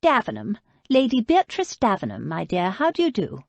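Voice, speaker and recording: Frail old aristocratic lady, tart and haughty, higher, lively, breathy delivery, voiced by a woman narrator. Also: normal speed, no room sound, heavily acted. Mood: sharp, wry. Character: frail proud elderly aristocratic lady, sharp-tongued.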